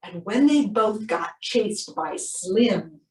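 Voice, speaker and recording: Animated, unhurried woman reading in echoey room. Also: mid-range voice. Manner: expressive, drawn-out emphasis. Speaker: animal shelter presenter reading a picture book. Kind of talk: children's storybook read-aloud. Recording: roomy, distant microphone.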